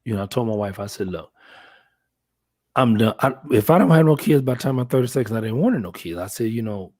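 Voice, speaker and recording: Fifties guy hosting a chill chat, keeps restarting his sentences, middle pitch. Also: expressive, brisk, darkish tone. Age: 50s. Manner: rambling, many restarts and fillers. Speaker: podcast host. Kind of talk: casual chat.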